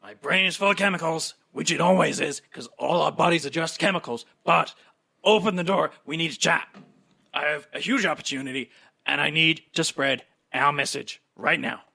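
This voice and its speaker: A man voicing an excitable, paranoid media man who rambles and wheedles in pushy fast talk. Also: on the high side, creaky, voice pushed up, full character voice. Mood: urgent, frazzled.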